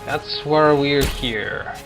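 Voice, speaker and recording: A man giving casual, halting gameplay commentary as he hosts a gaming video. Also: on the high side. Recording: game music and battle noise behind. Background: video game music and combat sounds.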